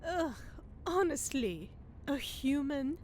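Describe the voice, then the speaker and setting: A woman acts as a haughty fairy lady in a fantasy audio comedy. Her voice is high and swoops with snobbish disdain, theatrical and critical. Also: lively intonation, takes it slowly, very noisy. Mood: disdainful, snobbish. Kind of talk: comedy audio drama acting.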